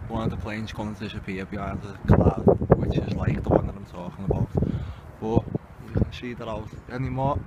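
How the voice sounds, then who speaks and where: A man's voice: on the low side, steady tone, fairly quick, gravelly, muffled recording. A man giving casual, rambling, conspiratorial commentary as an amateur video host. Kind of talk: casual off-the-cuff vlog commentary. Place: outdoors in the city. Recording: very noisy outdoor recording, wind, traffic. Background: loud wind and vehicle noise.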